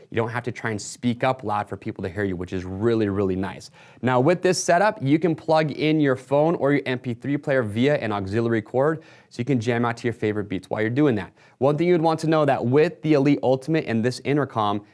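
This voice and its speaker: A man's voice: medium pitch, darkish tone. A man presenting a retail product walkthrough in a casual, explanatory sales manner.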